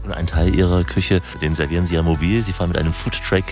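Fast-talking man with a deep voice. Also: fairly even intonation, darkish tone, soft background music. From southern Germany or further south. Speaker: host and reporter. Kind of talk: scripted travel narration and interviewing.

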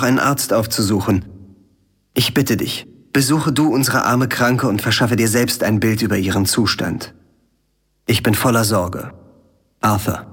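An actor playing a worried young gentleman in a radio play, formal and anxious as he reads his letter. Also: on the low side, slightly rough, in a large hall.

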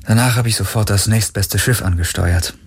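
Man voicing a wry, weary young woman, husky. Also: fairly low pitch, moderate pace. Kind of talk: audiobook character dialogue.

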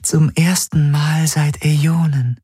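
A man voicing a sorrowful, devoted female apparition in a breathy, half-whispered, halting voice, as in an audiobook. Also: on the high side, level tone, dark tone, dry recording, clean studio sound, voice pushed up. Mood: anxious, tender. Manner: slow, halting, solemn. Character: sorrowful, fearful female apparition with glassy hands, devoted servant.

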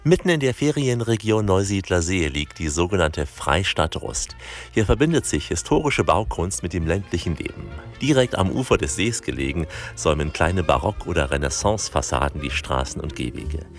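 A man's voice: low voice, lively intonation, normal speed, faint music behind. A male host asking friendly, chatty interview questions and reading scripted narration. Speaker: radio host.

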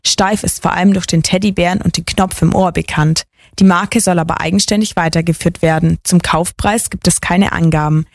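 A woman reading a news report as a radio reporter, brisk and factual. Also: lowish voice, fairly even intonation, no room sound. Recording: dry studio sound.

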